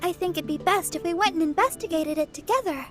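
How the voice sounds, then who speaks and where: A woman's voice: very high voice, quick pace, fairly bright tone, soft background music. A woman playing a sweet, considerate and eager young girl in a video game, with a light, girlish voice. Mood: helpful.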